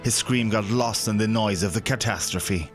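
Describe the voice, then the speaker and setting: A man's voice: lowish voice, moderate pace. A man reading scripted, dramatic narration for a science-fiction audio drama. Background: background music.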